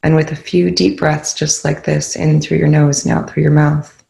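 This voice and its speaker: A calm, soothing voice leading a guided meditation in gently spaced phrases. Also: mid-range voice, moderate pace, gravelly. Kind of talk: guided meditation, soft instruction. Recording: roomy, echoing indoor sound.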